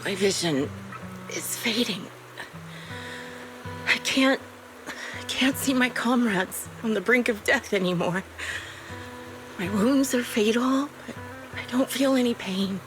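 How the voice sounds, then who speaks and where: An actress playing a bitter, vengeful, dying veteran sniper in a video game, speaking in a grave, halting way. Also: female voice, a little high. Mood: weary, resigned. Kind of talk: dramatic video game voice acting. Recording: music behind the voice.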